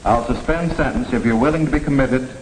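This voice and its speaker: Judge, played by a male actor, middle-aged American, mid-pitched, measured and authoritative, 1950s educational film with faint noise. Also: lightly acted. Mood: stern, measured. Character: stern but fair municipal court judge. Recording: noisy old 1950s film soundtrack.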